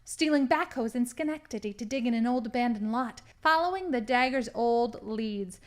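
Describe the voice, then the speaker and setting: A woman playing a scheming, menacing female crime boss in an audio drama, with a deliberate, theatrical delivery. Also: fairly high pitch, expressive, natural voice. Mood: gloating, cold.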